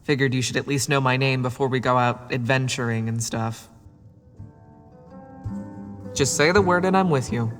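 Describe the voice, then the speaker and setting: A man's voice: medium pitch, moderate pace, long pauses, natural voice. A man voicing a laid-back, self-deprecating orc writer and reluctant adventurer in a video game, with a wry, hesitant, understated delivery. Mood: amiable, half-committed. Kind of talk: casual video game companion dialogue. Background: music behind the voice.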